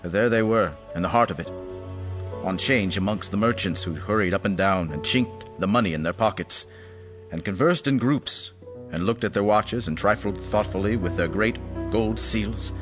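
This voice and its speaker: A man's voice: on the low side, moderate pace. A man narrating a classic tale in a dramatic, literary storytelling style. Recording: muffled lo-fi audio with music behind.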